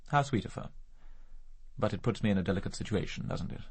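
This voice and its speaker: An urbane English murder suspect in a radio drama, sardonic and evasive. His low voice is slightly husky, and he rattles along with dry, flippant articulacy. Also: lively intonation, fast, darkish tone. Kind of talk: BBC radio drama acting.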